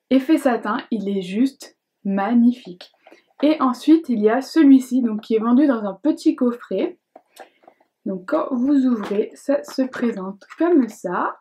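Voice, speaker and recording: A young adult woman chatting casually to camera as a beauty vlogger, hesitant and chatty, with many fillers and restarts. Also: on the high side. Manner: reads questions aloud in a brighter voice.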